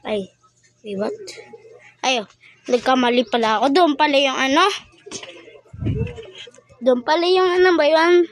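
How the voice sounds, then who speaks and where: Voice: sits high, measured pace, long pauses, dry recording. A Filipino speaker doing casual game playthrough commentary, chatty and exclamatory, addressing viewers directly. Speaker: gaming vlogger.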